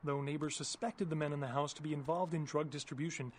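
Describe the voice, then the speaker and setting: An actor playing a formal news anchor reading bulletins in a video game, in a measured announcing manner. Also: male voice, on the high side, fairly even intonation, slight background noise, close to an everyday voice.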